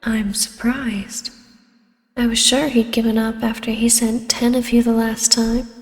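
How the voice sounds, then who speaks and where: An imperious vampire baroness, played by a woman in an ASMR fantasy roleplay. Her voice sits fairly high and keeps a very even, controlled line, taunting in a measured hush that slips into whispers. The sound is completely dry, with no room around it. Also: dry recording. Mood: cool menace. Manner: slow, hushed, breathy delivery slipping into whispers. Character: vampire baroness, ancient huntress turned ruler, taunting, imperious, regal. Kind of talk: scripted ASMR audio roleplay.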